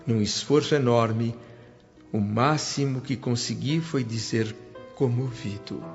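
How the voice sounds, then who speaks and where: A man's voice: medium pitch, slow pace. An awestruck, curious newcomer to the spirit world, telling his tale in a radio drama. His Brazilian speech is earnest and reverent, with literary phrasing. The audio is dull, with music playing underneath. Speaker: narrator and lead actor of a radio drama. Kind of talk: dramatised first-person radio narration.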